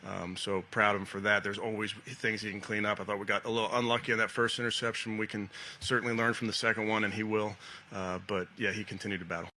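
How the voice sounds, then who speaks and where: Flat, sharp-voiced male football coach. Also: fairly low pitch, a little hiss or hum. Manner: measured, matter-of-fact. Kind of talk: press conference remarks.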